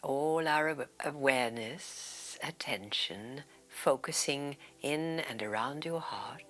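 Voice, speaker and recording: A woman leading a guided meditation as a yoga nidra teacher, speaking in a soothing, deliberate way with breathy, whispered phrase endings. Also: rumbling low, fairly bright tone.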